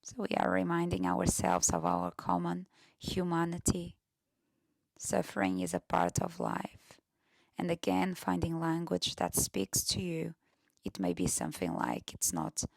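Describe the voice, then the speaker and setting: A woman leading a guided meditation in a gentle, soft-spoken way, often slipping into near-whisper with pauses. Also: low-pitched, big pitch swings, gravelly, no echo.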